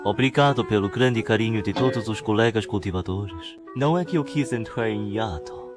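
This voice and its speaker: A man's voice: lowish voice, moderate pace, close to an everyday voice. A man playing a cocky, scheming young writer in a cultivation world, talking to himself in a dramatic motion-comic monologue. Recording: music clearly behind voice.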